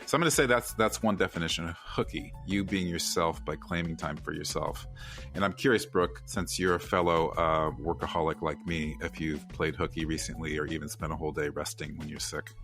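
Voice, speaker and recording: Deep-voiced male podcast co-host. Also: brisk, faint music behind. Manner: animated, emphatic, conversational. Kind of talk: casual podcast chat.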